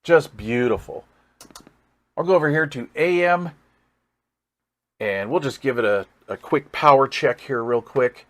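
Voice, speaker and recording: A man's voice: medium pitch, expressive, measured pace, long pauses. Casual man thinking aloud, folksy enthusiasm. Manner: thinks aloud while working. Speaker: radio reviewer and hobbyist. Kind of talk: casual hands-on product demonstration.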